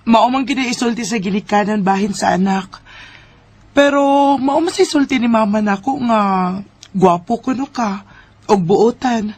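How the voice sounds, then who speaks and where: A woman's voice: fairly high pitch, moderate pace, a little mellow, slightly rough, no room sound. A woman playing a heartbroken young woman in a Filipino radio drama, speaking in an emotional, sighing way. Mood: shy, hesitant. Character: heartbroken young woman jilted on her wedding day. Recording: music under the voice.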